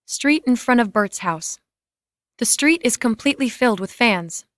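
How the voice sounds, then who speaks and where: A woman's voice: fairly high pitch, lively intonation, normal speed, fairly bright tone, dry recording, clean studio sound. A woman reading scene and camera directions as scripted narration.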